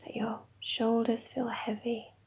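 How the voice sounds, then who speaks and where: Breathy whispering woman guiding meditation. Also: a little high, completely flat delivery. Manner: slow, soft, mostly whispered. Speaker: meditation guide. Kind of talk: guided meditation.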